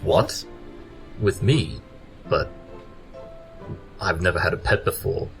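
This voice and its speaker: A man voicing a clever, haughty pony detective with a soft side, pompous and precise, in a cartoon audio drama. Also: low voice, big pitch swings, takes it slowly, gravelly, faint music behind, heavily acted. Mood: taken aback.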